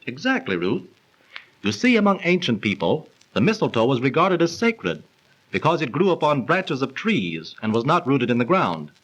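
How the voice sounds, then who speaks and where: A man's voice: medium pitch, big pitch swings, normal speed, fairly bright tone, poor audio. An actor playing a genial, learned young man who explains legends in polished, theatrical 1930s radio style. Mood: storytelling. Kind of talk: 1930s radio acting and storytelling. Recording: old 1930s radio transcription recording.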